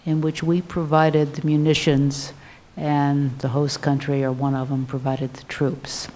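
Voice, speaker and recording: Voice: mid-range voice, a little mellow. An older person in the audience asking the panel a question.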